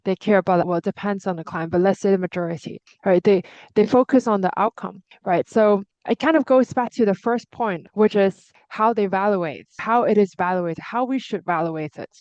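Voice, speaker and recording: A woman's voice: medium pitch, fairly quick, a little mellow, no room sound. A woman whose first language is Cantonese, hosting a discussion and sharing her reflections thoughtfully, with frequent restarts. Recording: dull lo-fi sound.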